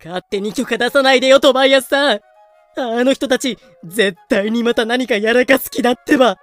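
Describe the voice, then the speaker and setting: A woman's voice: fairly high pitch, a little fast, no echo, faint music behind, close to an everyday voice. A woman playing an aggrieved, earnest colonial official in a fan-made audio drama. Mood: exasperated.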